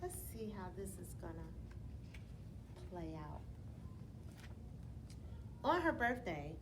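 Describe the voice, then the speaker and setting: A woman reading a children's bedtime story aloud in a teacherly, expressive way, putting questions to her young listeners as she goes. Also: fairly low pitch, slow, noisy background. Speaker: elementary school storyteller reading to students.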